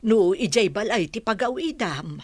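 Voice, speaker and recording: A woman's voice: medium pitch, animated, normal speed, husky, no room sound, studio quality. A woman acting a concerned older mother and grandmother in a radio drama, solicitous and sighing. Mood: gentle, sad.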